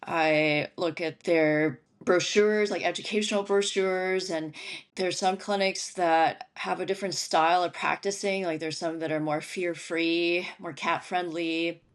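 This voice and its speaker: A woman's voice: on the low side, fairly even intonation, unhurried. Chatty, laughing woman guest. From Syria and Lebanon. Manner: chatty, laughs often while talking. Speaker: guest, veterinarian and stand-up comic. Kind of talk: casual interview chat.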